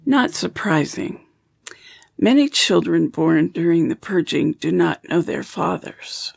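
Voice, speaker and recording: A woman's voice: lowish voice, animated, slow, vocal fry, a little mellow, breathy edge, no echo, exaggerated voice. A woman playing a blunt, grumbling, self-deprecating old woman in an audio drama. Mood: serious.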